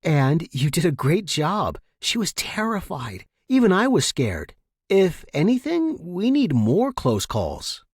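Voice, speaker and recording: Secretive survivor played by a male reader, raised pitch, insistent and persuasive, grim audio fiction. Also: wildly swooping pitch, slow pace, a little mellow, slightly rough, dry recording, studio quality, exaggerated voice. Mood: insistent, fervent.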